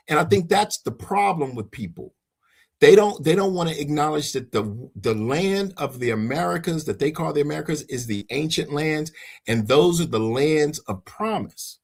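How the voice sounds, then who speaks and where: A man preaching informally and giving personal testimony as he leads a religious discussion, emphatic and repeating phrases for weight. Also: fairly high pitch. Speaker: host leading a religious discussion.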